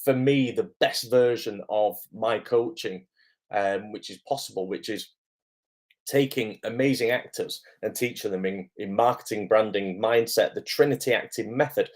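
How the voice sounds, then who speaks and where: A man with a hoarse, croaky voice from illness, hosting a casual livestream as an acting coach, greeting viewers and filling pauses with "um". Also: lowish voice, darkish tone. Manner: chatty, lots of "um" fillers, greets viewers by name. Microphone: camera microphone.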